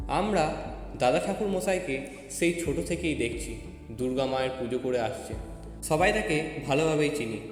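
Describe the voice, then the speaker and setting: Male story narrator in heavy reverb. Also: on the high side. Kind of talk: scripted first-person story narration. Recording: echoey with long reverb. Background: faint music.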